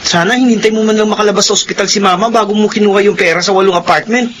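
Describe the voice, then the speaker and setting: An actor playing a self-righteous, indignant young brother in a Filipino radio drama. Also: male voice, high voice, fairly even intonation, racing, background noise, natural voice. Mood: accusing.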